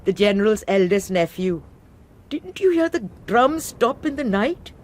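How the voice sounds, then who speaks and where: A woman's voice: mid-range voice, big pitch swings, unhurried, a little mellow, slight background noise. An actress playing a sly, gossiping servant woman who mocks and teases in a radio drama. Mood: teasing. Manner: mocking, gossipy.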